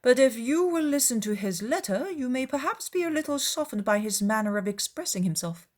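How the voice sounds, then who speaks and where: Woman acting a dry, teasing gentleman father. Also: sits high, big pitch swings, brisk, pitched above the performer's own voice. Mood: dry irony. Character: wry, teasing middle-aged gentleman father.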